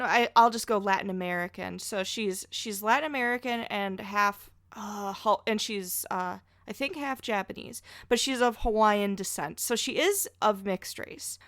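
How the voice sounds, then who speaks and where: A woman talking casually as a podcast guest, chatty and opinionated, quick to put herself down. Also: medium pitch, fairly bright tone, no room sound, studio quality.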